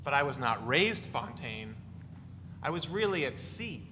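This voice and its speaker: A man's voice: mid-range voice, sing-song, unhurried, background noise. An actor reading the part of a joking young man who spins tall tales over dinner, recorded live in a reverberant hall. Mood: teasing. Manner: bright, teasing, rambling banter. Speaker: reader in a staged reading.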